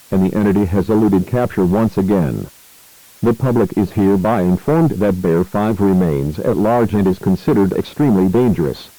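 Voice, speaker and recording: A dry, close recording of a male announcer. His voice is low and dark, and he delivers a scripted emergency alert formally, with grave, measured phrasing. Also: no room sound, low-quality recording.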